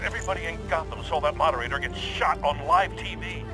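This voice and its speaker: An actor playing an agitated police officer in a video game, speaking urgently. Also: male voice, on the high side, quick pace, music in the background, natural voice. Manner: urgent, pressed. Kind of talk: video game drama acting.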